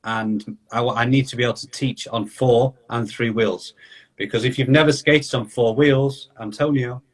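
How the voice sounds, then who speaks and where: A man hosting a casual podcast chat, talking at an ordinary middle pitch. He rambles in quick bursts, restarting sentences and tossing in "you know" as he goes. He sounds like he is talking into a video call. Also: steady tone. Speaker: podcast host and skating coach.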